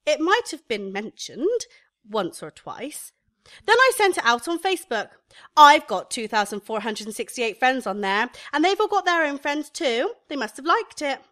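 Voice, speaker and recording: A woman's voice: high-pitched, big pitch swings, normal speed, pushed and bright, exaggerated voice. An actress playing an eager, chatty young female shop assistant in an amateur radio comedy. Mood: modest, pleased. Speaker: actor in amateur radio play.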